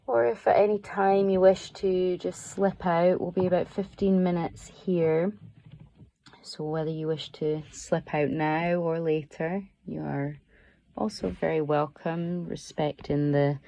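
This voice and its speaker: A woman teaches guided meditation in a low, mellow voice with a noticeable creak. Her delivery is slow, soothing and permissive, giving gentle instructions without any push. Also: fairly even intonation, no echo. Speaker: yoga nidra teacher.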